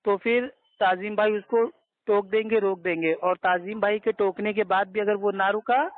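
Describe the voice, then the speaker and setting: A high-voiced man argues his side in a debate. He is insistent and keeps appealing to the moderator. The sound is a thin, tinny conference phone line. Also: steady tone, moderate pace, no room sound. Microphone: telephone.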